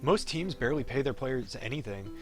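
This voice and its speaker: A man's voice: mid-range voice, lively intonation, fairly quick, lightly acted. A man voicing a wry, cocky young pro gamer from a visual novel, teasing and breezy. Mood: friendly, wry. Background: game music.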